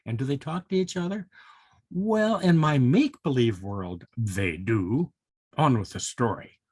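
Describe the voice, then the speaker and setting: An older man reading a story aloud to young children, playful and chatty, with expressive asides and questions to his listeners. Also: mid-range voice, a little slow, husky, dry recording, studio quality.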